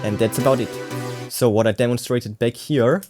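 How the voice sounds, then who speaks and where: A man's voice: mid-range voice, moderate pace. A man giving a casual, enthusiastic product demonstration as a tech reviewer, with exclamatory delivery. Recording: music playing behind the voice. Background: synth and guitar music.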